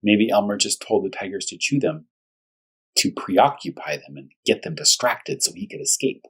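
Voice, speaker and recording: Husky, lowish-voiced man teaching young children. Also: animated, normal speed, a bit bright, poor audio. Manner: simple short phrases with repetition for children. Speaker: first grade teacher reading aloud. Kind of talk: storytime read-aloud and discussion for young children.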